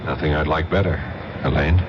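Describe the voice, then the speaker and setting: An actor playing a firm, affable narcotics agent with an American accent, plain-spoken, in an old-time radio drama. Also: male voice, a bass voice, fairly even intonation, brisk. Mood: friendly. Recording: dull, hissy old radio recording.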